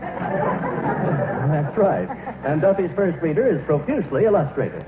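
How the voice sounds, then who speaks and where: A man announces in scripted 1940s radio style. His pitch is high and very lively, and the broadcast sounds thin and noisy. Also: measured pace, narrow, tinny audio, crowd noise.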